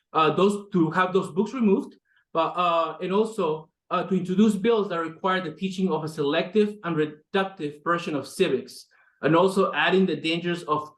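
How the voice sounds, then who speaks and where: A man's voice: a little high, echoey room, rough recording. A man in his thirties, an advocacy director, giving an impassioned statement on a virtual press call. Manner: impassioned, emphatic speech with fillers.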